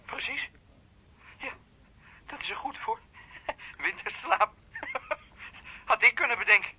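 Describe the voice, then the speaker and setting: A man's voice: very high-pitched, animated, takes it slowly, pushed and bright, breathy edge, full character voice. An actor playing a volatile, jealous young man in a radio play, agitated and stammering. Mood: calmer, hesitant. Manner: agitated, stammering, repeating himself. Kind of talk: naturalistic radio play acting.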